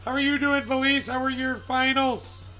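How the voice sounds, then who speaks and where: A man with a raspy, strained voice chats casually on a live stream, searching for words and greeting viewers by name. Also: extremely high pitch, steady tone, moderate pace, bright, forceful tone, soft background music.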